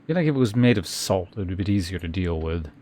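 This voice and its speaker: A man with a fairly low voice talks through a game for a live stream. He muses casually and hesitantly, taking his time between thoughts and sometimes reading out on-screen text. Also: expressive, very fast, warm tone, a little hiss or hum.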